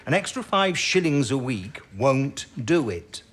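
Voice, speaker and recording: An actor playing a stubborn, indignant senior codebreaker in a wartime drama, blunt and impassioned in manner. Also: male voice, medium pitch, very animated, a little slow, a bit bright. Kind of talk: period audio drama acting.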